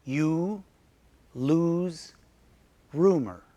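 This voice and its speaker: A man from Michigan with a Midwestern American accent, narrating a scripted pronunciation lesson and saying example words slowly and clearly. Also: on the high side, dark tone. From Michigan, United States. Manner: slow, careful, clearly enunciated word examples. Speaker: narrator and English pronunciation teacher.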